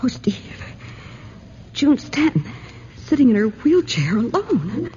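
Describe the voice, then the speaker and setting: A woman's voice: on the high side, big pitch swings, slow, warm tone. A woman playing a nervous but plucky newspaper reporter in a 1940s radio drama, hesitant and dramatically alarmed. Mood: frightened. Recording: dull noisy 1940s radio recording.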